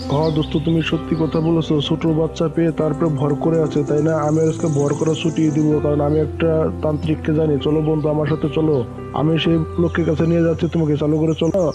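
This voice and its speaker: Man acting a bold crow friend, decisive, fast-talking, monotone, cartoon story. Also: a little high, music in the background, close to an everyday voice. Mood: resolute.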